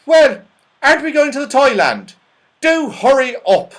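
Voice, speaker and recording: A man voicing an excitable, scatterbrained, slightly deaf fellow from a children's storybook. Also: very high-pitched, a little slow, voice pushed up, heavily acted. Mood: excited. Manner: exclamatory, scatterbrained.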